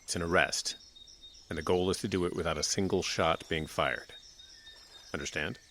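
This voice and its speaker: A man's voice: low-pitched, fairly even intonation, normal speed, a bit bright, a little hiss or hum. A man acting in a radio drama as a weary, authoritative small-town sheriff with an exasperated manner. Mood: firm, controlled. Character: stern, weary male small-town sheriff, war veteran.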